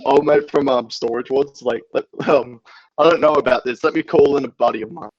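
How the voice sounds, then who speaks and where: A man's voice: medium pitch, fairly even intonation, fairly quick, no room sound, highs cut off. A young man with an Australian accent, bantering casually in a group, joking and swearing freely. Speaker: podcast guest or band member.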